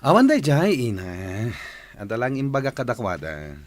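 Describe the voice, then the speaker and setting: A man's voice: medium pitch, huge pitch swings, normal speed. A man acting in a radio drama as a proud, spurned young man scheming revenge, brooding and emphatic.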